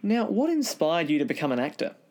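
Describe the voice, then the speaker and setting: A man with a fairly high voice hosts an interview, talking fast and politely. His questions come in quick, tidy phrases. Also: sing-song, a little mellow. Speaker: podcast host. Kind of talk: interview hosting.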